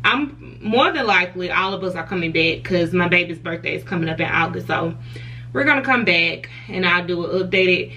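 A woman's voice: medium pitch, sharp tone, distant mic, slight background noise. A young woman, talking frankly to camera in a hotel room, laughing often. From Southern US. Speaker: vlogger. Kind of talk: casual chat to camera.

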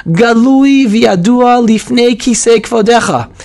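A hoarse man in his forties teaching in a casual live stream from a small room at home, talking emphatically and often repeating himself. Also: extremely high pitch, slow. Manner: animated and emphatic, with frequent repeats and restarts. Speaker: video host teaching about prayer. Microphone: webcam, close framing.

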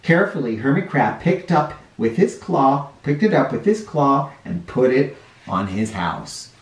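A man in his forties reading a picture book aloud to children in an expressive, explanatory way. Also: medium pitch, a little slow. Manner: animated, explanatory, addressing young listeners. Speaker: children's storytime reader. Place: living room or playroom indoors. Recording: roomy home sound, distant mic.

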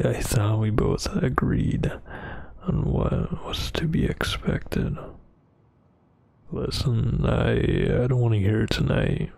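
A brooding male vampire boyfriend acted by a man in an ASMR roleplay. His voice is heavily fried and very husky, close to a whisper. He speaks slowly and haltingly, with irritated menace. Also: mid-range voice, no echo, exaggerated voice. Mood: irritated, warning. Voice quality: breathy, husky near-whisper. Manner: halting, tense, scolding.

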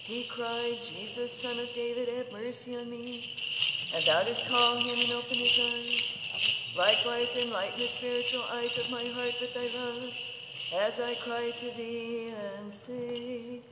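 A woman chants a religious prayer as a liturgical reader, in a solemn, measured, intoned delivery. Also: on the high side, level tone, over loud music. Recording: echoey hall with long reverb.

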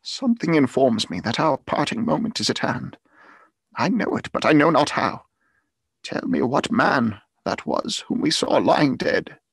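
A man as an anxious, husky old miser. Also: mid-range voice, big pitch swings, measured pace, dry recording, pitched above the performer's own voice, full character voice. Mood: uneasy, pleading. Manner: urgent, formal, questioning. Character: anxious, pleading old miser facing a spirit. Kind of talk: storybook character voice in audiobook.

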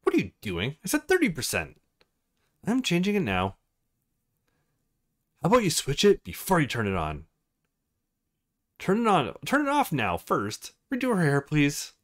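A man acts out a bossy male fashion photographer from a visual novel. He is theatrical and easily exasperated, and his pitch leaps about as he commands the set. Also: wildly swooping pitch, normal speed, long pauses, a bit bright.

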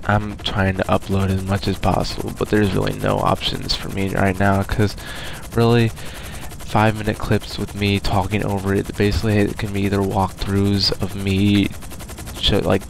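Low, nasal, tired young man. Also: vocal fry, background noise. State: sick and tired, speaking quietly. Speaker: gaming YouTuber. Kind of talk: casual gameplay voice-over commentary.

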